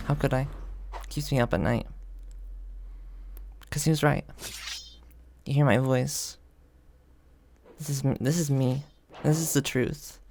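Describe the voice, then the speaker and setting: A man making a deadpan, mock-earnest comedy confession as a gaming video creator, in a halting delivery. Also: medium pitch, creaky, a little hiss or hum.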